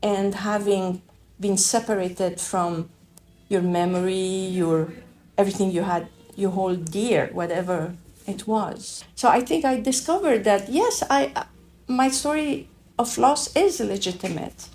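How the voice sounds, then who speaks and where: A middle-aged woman from Afghanistan with a French accent, telling her own story in a reflective, halting way with many restarts. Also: mid-range voice, darkish tone. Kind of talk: reflective personal testimony.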